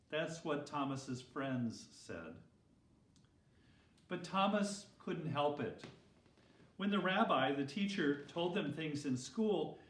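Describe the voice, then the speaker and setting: Slow, lively male storyteller in echoey room. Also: on the high side. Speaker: pastor reading a children's story. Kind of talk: expressive children's storytelling. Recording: roomy echo, distant microphone.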